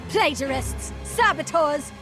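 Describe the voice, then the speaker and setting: A woman's voice: sits high, lively intonation, takes it slowly, music in the background. A woman playing a haughty, menacing gnome-like villain scientist in a video game, indignant and theatrical. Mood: indignant, threatening. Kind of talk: video game cutscene acting.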